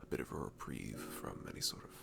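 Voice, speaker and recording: A man playing a cute, comforting barista in a soft ASMR roleplay, talking in a gentle, rambling, hesitant way. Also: fast talker, lightly acted. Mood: comforting, musing. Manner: gentle, rambling, hesitant, breathy chuckles and sighs. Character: cute, sweet, comforting young male barista chatting.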